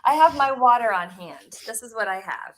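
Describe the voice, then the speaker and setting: A bubbly woman chatting casually as co-host, gushing and quick to laugh. Her voice sits a bit high. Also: expressive, a bit bright, a little hiss or hum, rough recording. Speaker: co-host of a reseller chat show. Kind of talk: casual chat.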